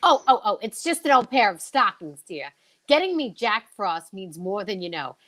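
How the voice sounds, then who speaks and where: A woman's voice: medium pitch, sing-song, moderate pace, fairly bright tone. A woman playing a polite, grateful, easily flustered lady friend in an old-time radio show, gracious and slightly fluttery.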